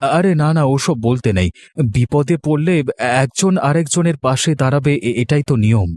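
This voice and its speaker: A man playing a kind, modest young villager in a cartoon, talking in a gentle, hesitant, reassuring way. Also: a little high, expressive, moderate pace, dry recording, studio quality, natural voice. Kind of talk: cartoon voice acting.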